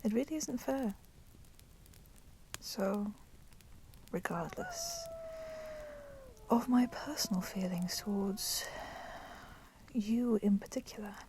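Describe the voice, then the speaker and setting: A woman voicing a proud, resentful female vampire in a whispered ASMR roleplay, speaking softly and deliberately in formal, menacing phrases. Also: mid-range voice, very animated. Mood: reluctant, pitying. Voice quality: soft, breathy whispering. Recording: constant crackling fire noise behind voice. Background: crackling fire.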